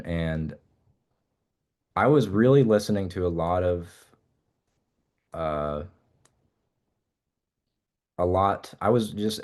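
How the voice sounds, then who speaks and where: Young man with a very low voice who talks casually and loosely, full of fillers and restarts and ideas that trail off as he chats with an interviewer. He comes across as a relaxed musician guest. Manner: rambling, halting with many fillers and restarts.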